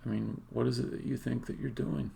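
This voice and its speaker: A man live-streaming game development, thinking aloud in halting, muttered, exasperated asides, unscripted and talking to himself. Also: mid-range voice, fairly even intonation, gravelly, warm tone, slight background noise.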